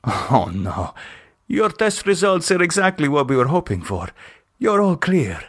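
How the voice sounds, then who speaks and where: Guy doing a creepy polite male doctor for a horror story, formal, a bit higher pitched, quietly menacing. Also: big pitch swings, measured pace. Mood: smoothly menacing. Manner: polite, formal, hesitant smoothness.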